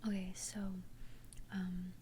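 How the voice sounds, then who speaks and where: Woman, breathy ASMR whisper, soft dark tone, unhurried, gentle and confiding vampire friend, faint ambient noise. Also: fairly low pitch, flat delivery. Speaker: ASMR roleplay performer. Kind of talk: whispered ASMR roleplay, one-sided conversation. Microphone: close ASMR microphone.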